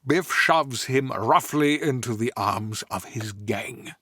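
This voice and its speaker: Guy putting on a posh-mannered dramatic narrator, slow and crisp bright voice, dry recording, comedy script read. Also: mid-range voice, no room sound, heavily acted. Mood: measured. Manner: deliberate, dramatic. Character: grand, genteel stage-actor narrator reading screenplay directions. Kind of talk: scripted narration, comic impression.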